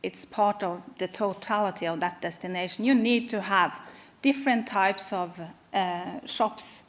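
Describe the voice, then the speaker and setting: A woman from Norway giving a conference presentation, speaking haltingly with many pauses and fillers. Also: medium pitch. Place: conference hall.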